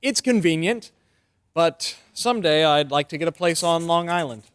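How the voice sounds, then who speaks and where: A man performs an amiable young lawyer for an old-time radio drama. The voice is a little high for a man. The delivery is casual and modest, in a 1940s everyman manner. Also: expressive, moderate pace, fairly bright tone, clear, resonant tone.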